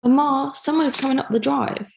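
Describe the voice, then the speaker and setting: An actress reads a young daughter in a staged period drama. The voice is somewhat high and creaky, blunt and curious. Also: female voice, fairly quick, darkish tone, a little hiss or hum.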